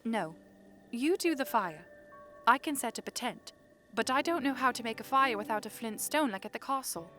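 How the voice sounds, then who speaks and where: Lady playing playful bossy princess in an audiodrama, high animated voice, teasing. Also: moderate pace, soft background music. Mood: insistent. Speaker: voice actor. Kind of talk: audio drama acting.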